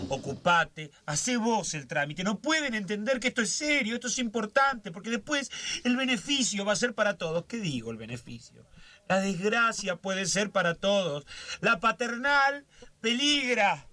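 Radio drama: histrionic, order-obsessed nervous man, highish forceful voice, fretting aloud to himself. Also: slight background noise. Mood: indignant complaint. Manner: fretful, histrionic, muttering and exclaiming.

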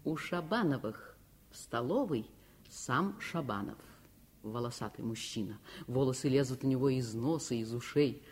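An actress playing a tender storyteller recalling childhood, with measured, lyrical literary narration in a Soviet radio play. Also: female voice, extremely low pitch, animated, a little mellow, slight background noise. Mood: wry, descriptive. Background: music.

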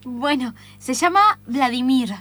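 A woman's voice: high-pitched, lively intonation, takes it slowly, pushed and bright, heavily acted. A woman with an Argentine accent playing an insistent, envious, bossy client in improvised radio theatre. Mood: chatty, pleading. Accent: Argentine (Rioplatense). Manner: dramatic, insistent.

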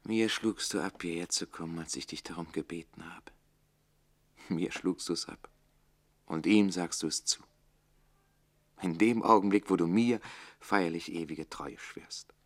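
Radio drama bitter, witty manservant: lowish voice, declamatory, defiant, moving from hushed to forceful. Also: male voice, measured pace, long pauses. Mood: bitter, indignant. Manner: declamatory, rhetorical, shifting between hushed and forceful. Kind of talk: theatrical German radio play acting.

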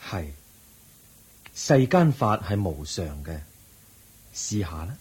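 A man with a Hong Kong Cantonese accent playing a wise, compassionate Buddha, gentle and solemn, in a radio drama. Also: lowish voice, big pitch swings, takes it slowly, breathy edge, full character voice. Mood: serene. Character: calm, wise, compassionate Buddha giving counsel.

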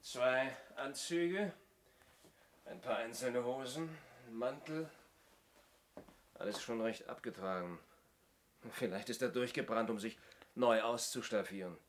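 A man's voice: mid-range voice, unhurried, pauses often, close to an everyday voice. An actor playing an inspector's observant male assistant in a 1970s radio play, speculating in a dry, wry manner. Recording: noisy old radio play recording.

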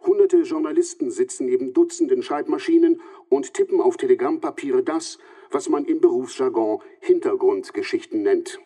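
A man's voice: mid-range voice, normal speed, dark tone, husky, no echo, studio quality. A man narrating a scripted radio documentary as a reporter would.

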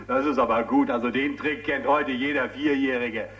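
An actor playing a glib, condescending game show host in a radio drama, jovial and mocking. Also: male voice, medium pitch, steady tone, measured pace, a bit bright, highs cut off, close to an everyday voice.

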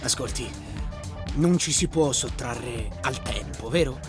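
An actor playing a calmly evasive, patronizing sleeping-car conductor in a theatrical radio drama. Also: male voice, mid-range voice, unhurried, natural voice. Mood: smug, lecturing. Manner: patronizing, rhetorical, didactic. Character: condescending male sleeping-car conductor, calm and slyly evasive. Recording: voice over prominent music bed. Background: music.

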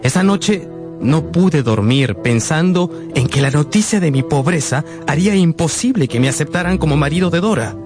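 A man playing a heartfelt narrator who tells his own youth in a scripted radio drama, with an expressive storytelling delivery. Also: fairly high pitch, normal speed, lightly acted. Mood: heartfelt, reflective. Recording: music behind the narration.

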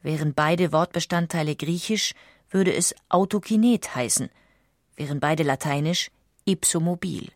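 Lowish-pitched woman narrator, dry studio sound. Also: dry recording. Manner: measured, articulate. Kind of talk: scripted documentary audiobook narration.

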